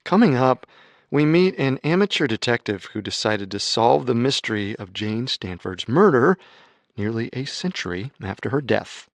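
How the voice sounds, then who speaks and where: A man narrating a true-crime story in a measured, scripted storytelling manner. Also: mid-range voice, sing-song, dry recording, soft background music.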